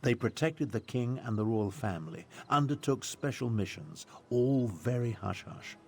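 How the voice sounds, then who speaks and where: An actor playing a proud, touchy, dry-witted male spellcaster in a video game, speaking formally and deliberately. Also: medium pitch, expressive, gravelly, a little hiss or hum. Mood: grave, explanatory.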